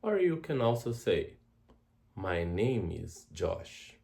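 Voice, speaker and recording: A Brazilian man with a Brazilian Portuguese accent, a native Portuguese speaker, introducing a travel language course as tutor and speaking slowly and deliberately, often repeating words. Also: deep voice, sing-song, vocal fry, darkish tone.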